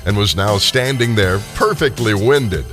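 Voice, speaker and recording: A man narrating a scripted classic tale in a theatrical, expressive storytelling style. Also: lowish voice, a little slow, soft background music.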